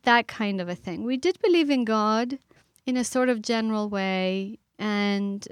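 Middle-aged woman from iran, earnest guest, talks slow and reflective, dry studio. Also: medium pitch, clear, resonant tone, dry recording. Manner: earnest, thoughtful, pausing with fillers. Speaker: author and guest. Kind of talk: reflective interview talk.

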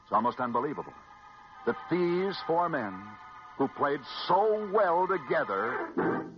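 An American man telling stories as a 1940s radio host, scripted and dramatic, with emphatic repetition and weighty pauses. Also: fairly high pitch, expressive. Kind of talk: 1940s radio sports storytelling, scripted narration. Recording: muffled vintage 1940s radio recording. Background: music.

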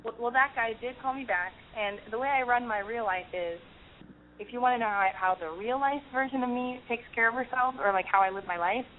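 Woman podcast host, chatty and run-on, narrow lo-fi phone-like audio with faint hiss. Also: fairly high pitch. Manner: talkative, quick restarts, frequent "like". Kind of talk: casual call-in chat and storytelling.

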